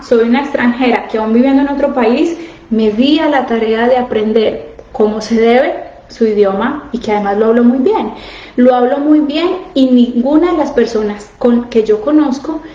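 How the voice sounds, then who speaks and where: A woman's voice: fairly high pitch, a little mellow, muffled recording. Earnest woman coach in an echoey room. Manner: earnest, asks the audience direct questions. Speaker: coach on emotional eating, live stream host. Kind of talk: casual live-stream talk to women. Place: home, living abroad.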